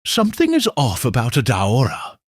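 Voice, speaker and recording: A man voicing a gossiping neighbor woman at a salon, with a husky voice. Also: mid-range voice, huge pitch swings, normal speed, no room sound, clean studio sound. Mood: gossipy.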